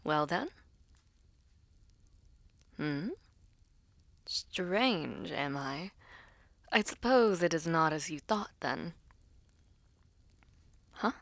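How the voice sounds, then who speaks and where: A woman voices a mysterious female being, poised and secretive. She speaks slowly and formally, slipping into a breathy half-whisper with a coy, teasing edge. The audio roleplay sounds close and dry. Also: low-pitched, animated, a bit bright, no echo, exaggerated voice. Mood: composed, teasing. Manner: slow, composed, formal, coy. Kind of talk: audio roleplay acting, addressing the listener.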